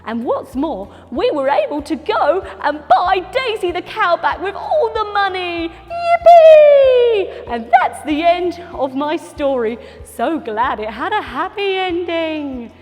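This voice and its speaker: A woman voicing an excitable young boy puppet who tells his adventure to children in a dramatic, exclamatory way. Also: very high voice, pitched above the performer's own voice, heavily acted. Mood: triumphant. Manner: exclamatory, dramatic, drawn-out storytelling with sound effects. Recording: echoey hall with music.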